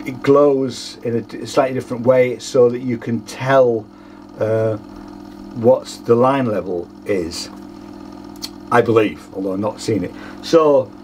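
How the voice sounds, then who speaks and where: A man in his sixties with a husky voice gives an informal hands-on demonstration, explaining hesitantly as he thinks aloud. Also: mid-range voice, a little mellow, a little hiss or hum. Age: 60s. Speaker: vlogger demonstrating vintage audio gear. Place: small home studio with foam panels, indoors.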